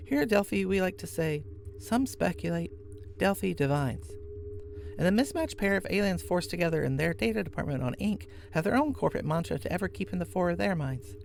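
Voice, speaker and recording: A woman's voice: on the low side, animated, brisk, vocal fry, a little mellow, a little hiss or hum. A woman hosting a podcast who recommends a show in a chatty tone with wry asides. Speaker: podcast host. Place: workplace, on lunch break.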